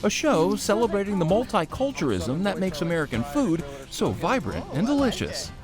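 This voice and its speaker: A woman reporter delivering scripted news feature narration in a smooth, measured way. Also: mid-range voice, very animated. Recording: music bed underneath.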